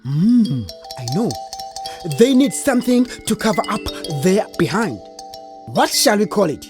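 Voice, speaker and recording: An actress playing a playful, grand creator spirit in children's audio theatre, speaking theatrically with musing hums. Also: female voice, medium pitch, very animated, unhurried, a little mellow, faint music behind.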